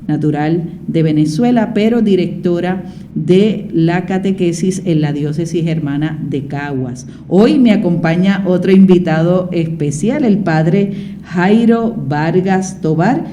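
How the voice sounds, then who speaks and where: Dark-voiced woman radio host. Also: fairly low pitch, slight background noise. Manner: warm conversational, with repetitions and fillers. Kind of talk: radio interview.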